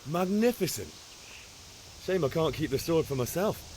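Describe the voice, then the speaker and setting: A man's voice: on the high side, animated, normal speed, a little mellow, a little hiss or hum, close to an everyday voice, rough recording. A man playing a cheeky, eager young blacksmith's son in a medieval video game. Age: young adult. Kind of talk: video game cutscene acting.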